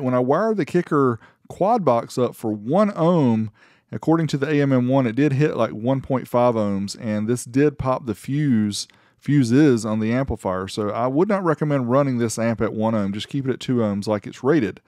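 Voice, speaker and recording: A man's voice: mid-range voice, normal speed, darkish tone, no room sound, clean studio sound. A man giving a casual, informal product review voice-over as the host, with a folksy way of talking. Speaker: host reviewing car audio amplifiers.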